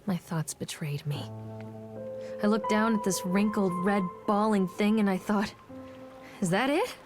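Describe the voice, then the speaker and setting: A haunted, troubled mother, played by a woman, confesses in a brooding and theatrical way. Her voice sits at a middle pitch and carries bitterness and anguish. It is a dramatic video game monologue with clear music behind it. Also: a little slow, natural voice. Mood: wistful, regretful.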